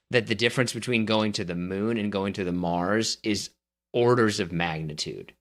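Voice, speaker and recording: A man with a slightly low voice co-hosts a casual podcast chat. He is opinionated and blunt, and he presses his points with emphasis. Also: a bit bright. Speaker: podcast co-host.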